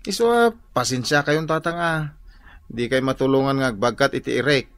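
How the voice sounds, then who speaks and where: A man's voice: medium pitch, normal speed, a bit bright, no echo, lightly acted. A man playing a lazy, whiny husband who makes hesitant excuses in a comedy radio drama. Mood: whining excuses.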